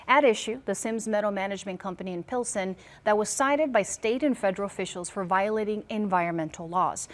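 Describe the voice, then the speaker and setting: A woman hosting a television news program, reading an introduction and interviewing guests in a measured anchor delivery. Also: medium pitch. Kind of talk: scripted news presentation and interviewing. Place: television studio.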